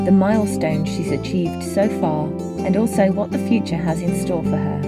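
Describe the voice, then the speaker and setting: A woman hosting a podcast as an English teacher. She reads a scripted intro and then chats casually and enthusiastically with her guest, laughing often. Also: on the low side. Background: guitar music under the intro.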